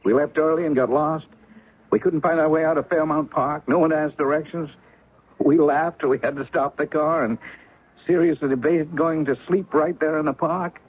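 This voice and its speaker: A husky, guarded ex-husband in an old radio mystery, tinny broadcast sound. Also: male voice, on the high side, steady tone, normal speed. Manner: guarded, urgent, suspicious. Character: wary man claiming to be her ex-husband. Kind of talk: 1970s radio drama acting.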